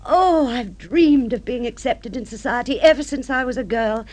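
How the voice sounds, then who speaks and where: An actress playing a middle-aged social-climbing wife and fantasist in a radio drama, with a gushing, theatrical, affected manner. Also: female voice, on the high side, normal speed, darkish tone, lightly acted. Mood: exasperated, pleading. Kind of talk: mid-century radio drama acting.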